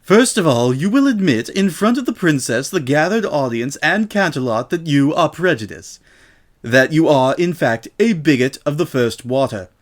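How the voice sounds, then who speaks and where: A man's voice: on the high side, expressive, normal speed, pitched above the performer's own voice, natural voice. A man voicing a smug, haughty female unicorn, pompous and emphatic, in a dramatic story reading. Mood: smug, accusing.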